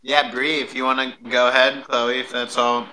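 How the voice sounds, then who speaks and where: A man's voice: medium pitch, level tone, moderate pace, sharp tone. A man hosting a casual panel talk, informal and enthusiastic, with slangy promotional patter. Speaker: host of an audio panel, music performer.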